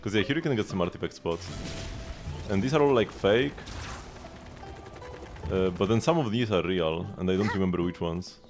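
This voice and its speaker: A man with a fairly low voice talks through a game on a livestream. His delivery is casual and offhand, as if thinking aloud for his viewers. Faint music plays behind him. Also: normal speed, pauses often. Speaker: live game streamer. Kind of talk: casual gaming livestream commentary, chatting with viewers.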